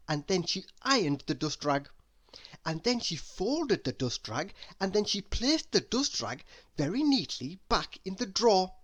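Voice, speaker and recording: A woman reading a children's story aloud as an expressive narrator. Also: fairly low pitch. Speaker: story reader.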